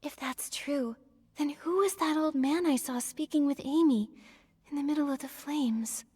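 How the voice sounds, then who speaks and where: An actress playing a gentle, earnest, inquisitive young heroine in a video game, with a soft manner. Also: female voice, high voice, moderate pace, lightly acted. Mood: puzzled, curious. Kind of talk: scripted video game character dialogue. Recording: long hall-like reverb.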